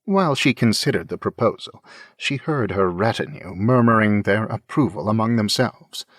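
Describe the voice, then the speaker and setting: A man's voice: lowish voice, normal speed, no echo, clean studio sound. A man reading scripted fantasy narration as an audiobook narrator.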